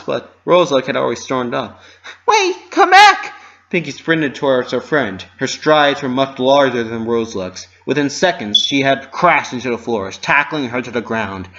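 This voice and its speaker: A narrator reads a story aloud in a dramatic storybook style. The voice sits at a medium pitch. The home recording sounds a bit dull and lo-fi. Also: animated, brisk, a bit bright.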